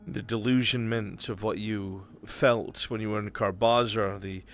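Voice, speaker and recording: A man working as game master, narrating a live roleplay game in the second person with brooding, deliberate delivery and dramatic pauses, over background music. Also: on the low side, fairly even intonation. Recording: music behind the voice.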